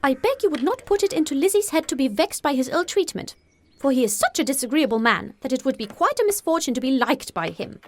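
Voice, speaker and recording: Fussy gossipy middle-aged mum for an audiobook, way high and swoopy, super emphatic. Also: female voice, animated, quick pace. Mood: indignant. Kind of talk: audiobook character voice.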